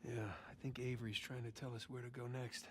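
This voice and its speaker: A man's voice: medium pitch, little pitch movement, fairly quick, a bit bright, slight background noise, natural voice. An actor playing a wry, adventurous treasure hunter, bantering with his brother.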